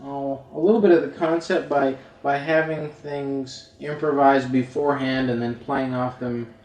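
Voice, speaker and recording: A man's voice: medium pitch, fairly even intonation, normal speed, a little mellow. A man working as an interviewer, asking questions in a casual conversational way. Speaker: interviewer, journalist. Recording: echoey, distant 1970s interview tape.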